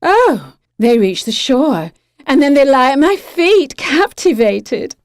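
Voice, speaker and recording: A woman playing a beautiful young siren in an audio drama, imperious, capricious and teasing, with deliberate delivery. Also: high voice, very animated, no echo, clean studio sound, full character voice. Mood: proud, cruelly gloating.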